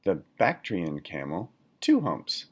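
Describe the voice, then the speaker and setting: Slow, deep-voiced man reading aloud. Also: huge pitch swings, breathy edge. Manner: deliberate, instructional, poses questions to listeners. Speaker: read-aloud reader, teacher. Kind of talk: children's nonfiction read-aloud.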